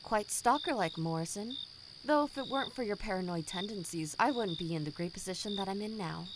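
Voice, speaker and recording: A woman's voice: mid-range voice, brisk, noisy background, close to an everyday voice. A woman playing a cold, condescending company boss in an audio drama, formal, smug and menacing. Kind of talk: scripted audio drama acting.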